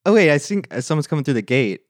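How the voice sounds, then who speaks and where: A man co-hosting an improv comedy show, playful and quick to chime in. His voice is of middling pitch but moves around a lot, recorded dry. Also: big pitch swings, no echo. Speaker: comedy podcast co-host.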